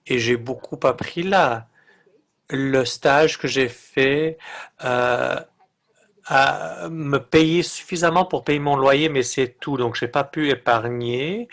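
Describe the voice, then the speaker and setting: A man interpreting a webinar talk live, with a halting, phrase-by-phrase delivery. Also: mid-range voice, fairly bright tone.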